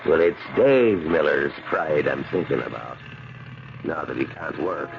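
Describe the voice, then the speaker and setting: Genial beat sergeant, low voice, folksy and deferential, radio drama, muffled old recording over music. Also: male voice, lively intonation, unhurried, vocal fry, exaggerated voice. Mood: concerned, tactful. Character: genial, considerate male police sergeant. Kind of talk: 1970s radio drama acting.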